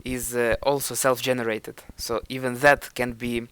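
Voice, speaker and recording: A man's voice: mid-range voice, level tone, normal speed, fairly bright tone, smooth, no echo. A young man with a Ukrainian accent, a vlogger thoughtfully commenting on his own old video and searching for words as he goes.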